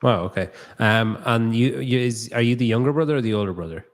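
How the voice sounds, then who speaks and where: A man's voice: mid-range voice, fairly quick. A man hosting a podcast in a new studio, chatting loosely, thinking aloud and swearing casually. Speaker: podcast host. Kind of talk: casual interview chat.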